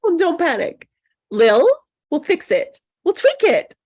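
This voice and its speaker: High-pitched female actor as a loyal best friend, soothing and encouraging, in a screenplay read. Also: sing-song, measured pace, darkish tone. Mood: reassuring. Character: Annie, loyal reassuring best friend of a nervous bride.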